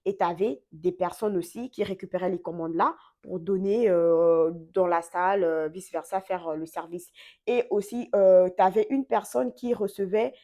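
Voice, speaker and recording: A young woman vlogging casually, telling a story with many fillers and quick self-corrections; French is not her first language. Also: lowish voice, warm tone.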